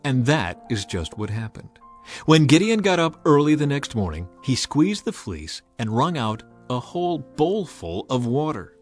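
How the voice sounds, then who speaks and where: A man's voice: medium pitch, very animated, a little slow. A man narrating scripture as a scripted, dramatized audio reading. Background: music.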